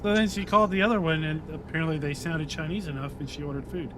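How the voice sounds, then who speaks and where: A man's voice: fairly high pitch, steady tone, fast. Rambling storytelling man over game noise. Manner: rambling storyteller, laughs easily. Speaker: gamer in a convoy. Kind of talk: casual chat while playing. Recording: truck game engine noise behind.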